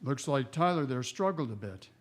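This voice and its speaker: An actor playing a steady, plain-spoken frontier marshal, laconic and matter-of-fact, in a Western radio drama. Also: male voice, medium pitch, normal speed, lightly acted. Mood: sober, resolute.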